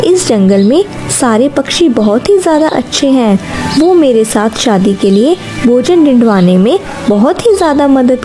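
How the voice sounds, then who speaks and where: A caring middle-aged mother bird from a cartoon moral story, acted out by a woman. Her voice sits high, and she talks earnestly and politely, coaxing and eager to please. Music plays clearly behind her. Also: dark tone. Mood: reassuring.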